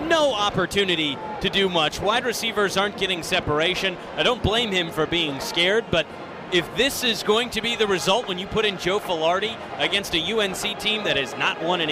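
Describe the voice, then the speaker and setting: High-voiced American male color commentator, noisy broadcast. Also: expressive, normal speed. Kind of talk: live radio sports analysis. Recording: noisy live stadium broadcast.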